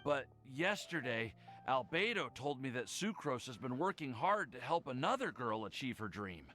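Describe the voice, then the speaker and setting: An actor playing an earnest, nervous young alchemist in a video game, with hesitant, formal, wordy speech. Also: male voice, medium pitch, sing-song, normal speed, pushed and bright, faint music behind.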